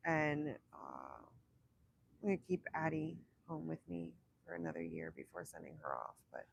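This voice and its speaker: A young woman with a raspy voice from illness, chatting casually on a livestream, rambling and laughing between thoughts. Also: low-pitched, slight background noise. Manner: rambling, self-interrupting, laughs often. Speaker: livestream host. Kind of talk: casual live chat with viewers.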